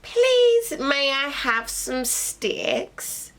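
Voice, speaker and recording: Storybook little pig, played by a woman: tiny plucky boy, squeaky singsong, sky-high pitch, swooping intonation. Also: very animated, extremely slow, fairly bright tone, heavily acted. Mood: polite, eager. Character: little pig, small, polite, plucky young male. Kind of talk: children's storybook character reading.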